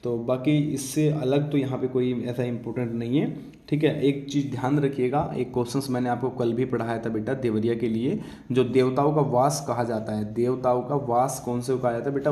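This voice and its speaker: A man's voice: medium pitch, mellow. Man teaching in an echoey room. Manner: instructive, repeats check-in tags and asks questions he answers himself. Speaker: exam coaching teacher. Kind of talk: informal exam-prep lecture to students.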